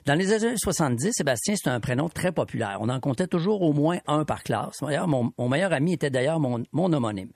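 Man, 50s, Québécois accent, radio columnist, wry storyteller, slightly high pitch, dry studio sound. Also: lively intonation, fast talker, creaky, hardly any pauses, darkish tone, no room sound. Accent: Québécois French. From Quebec City. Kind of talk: humorous scripted column.

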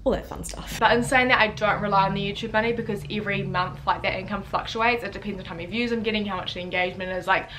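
Young New Zealand woman, student vlogger, rapid chatty delivery full of "like", faint room hiss behind. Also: mid-range voice, fairly even intonation, a bit bright, rough recording. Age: young adult. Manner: chatty, fast, lots of "like" fillers, gestures with hands. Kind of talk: casual chat to camera. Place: bedroom, indoors. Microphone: camera in front at chest distance.

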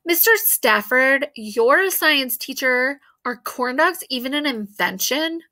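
Woman as a pouty, bright-voiced schoolgirl. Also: high voice, animated, slow, heavily acted. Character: pouty, challenging schoolgirl at a science fair.

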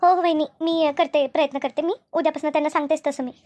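A woman's voice: high voice, fairly even intonation, fast talker, a little mellow, no echo, natural voice. A woman voicing an anxious, deferential young working daughter-in-law in a cartoon family drama, speaking colloquially.

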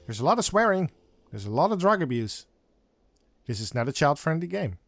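A man's voice: fairly high pitch, very animated, brisk, fairly bright tone, dry recording. A man giving casual, wry, understated commentary as he plays through a video game. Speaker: let's play host.

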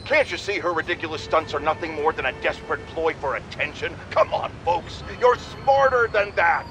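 A man's voice: on the high side, expressive, moderate pace, over music, close to an everyday voice. An actor playing an irascible, self-important male radio pundit in a video game, bombastic and sarcastic as he rants to his listeners. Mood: scornful. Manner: bombastic, sarcastic, exaggerated indignation. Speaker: radio talk show host. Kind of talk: opinionated radio rant. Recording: clear background city noise from gameplay.

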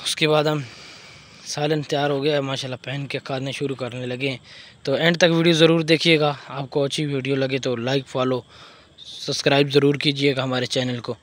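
A man's voice: medium pitch, fairly bright tone. Chatty man filming his farm work. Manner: chatty, explains each step as he works. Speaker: poultry farm owner vlogger. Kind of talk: casual walkthrough vlog narration. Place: outdoors on a farm, cooking over a fire. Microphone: phone held by the speaker.

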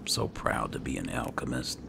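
Very deep, gravelly man gaming. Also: moderate pace, noisy background. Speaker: gamer playing a fantasy roleplay game. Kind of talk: low-key gameplay commentary in character.

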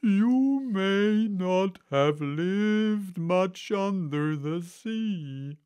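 A man voicing a melodramatic, tearful turtle creature from a storybook, with drawn-out, emotional delivery. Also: sits high, lively intonation, darkish tone, clear, resonant tone, voice pushed up, heavily acted. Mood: wistful, drawn-out. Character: melodramatic, tearful old Mock Turtle, excitable and wistful. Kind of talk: storybook character reading.

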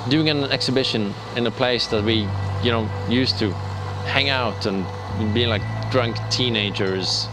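A man in his forties speaks in a casual, halting interview style. His pitch is average for a man. Loud music runs behind him. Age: 40s.

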